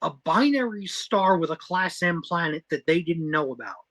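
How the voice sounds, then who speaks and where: A woman's voice: on the low side, animated, moderate pace. A woman co-hosting a review podcast, chatting in an emphatic, opinionated way with frequent interjections. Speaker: podcast co-host. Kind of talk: animated review chat.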